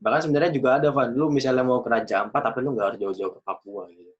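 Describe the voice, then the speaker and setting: A man of about twenty, hosting a casual podcast chat, chatty and quick to laugh. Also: mid-range voice, steady tone, slightly rough. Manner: chatty, laughs often, loose slangy talk. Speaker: podcast host. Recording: dull lo-fi recording.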